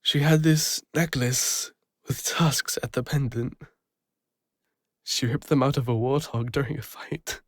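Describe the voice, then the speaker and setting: Vulnerable English adventurer, creaky voice, acted by a man. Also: medium pitch, steady tone, no room sound, clean studio sound. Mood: fond, nostalgic. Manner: halting, emotional, confessional. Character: Killian, guilt-ridden retired male adventurer, vulnerable, lonely, wistful. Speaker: audio roleplay voice actor. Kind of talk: intimate fantasy audio roleplay.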